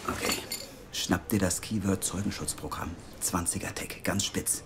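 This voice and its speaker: An actor playing a driven, persuasive investigative team leader in an audio drama, casual yet insistent. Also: male voice, low-pitched, steady tone, normal speed, a bit bright. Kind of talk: naturalistic German audio drama acting. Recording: clearly noisy background.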